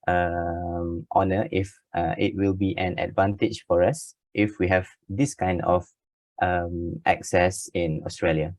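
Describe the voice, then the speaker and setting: Slow, deep-voiced Malaysian young man. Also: darkish tone, dry recording. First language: not English. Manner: hesitant, many filler sounds. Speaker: student in group discussion. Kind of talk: presenting a point in a discussion.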